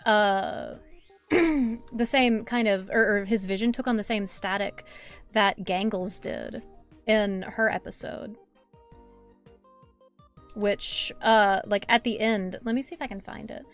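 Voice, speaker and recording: A hoarse woman streaming as she draws, chatting in a rambling way with lots of fillers and restarts, swearing now and then. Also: medium pitch, steady tone, takes it slowly, pauses often, faint music behind. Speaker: artist streamer. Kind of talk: casual chat while drawing on stream.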